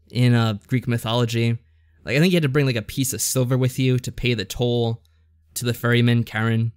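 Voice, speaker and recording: Guy on a podcast, medium pitch, dry sound, casually rambling through a story recap, keeps correcting himself. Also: dry recording. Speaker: podcast co-host. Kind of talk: casual chat recapping a visual novel story.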